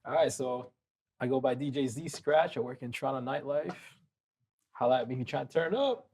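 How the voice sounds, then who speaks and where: A man's voice: medium pitch, lively intonation, brisk, a little mellow, breathy edge. Easygoing man, a DJ guest. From Dubai. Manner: easygoing, joking. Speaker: DJ and panel guest. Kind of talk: casual chat.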